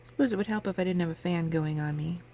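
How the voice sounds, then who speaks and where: A sniffly woman hosting a casual craft tutorial, chatting in a relaxed way as she works at her table. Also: lowish voice, fast. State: sniffly from a cold. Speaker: crafting channel host. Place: home craft table with a fan running. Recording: faint fan noise in background.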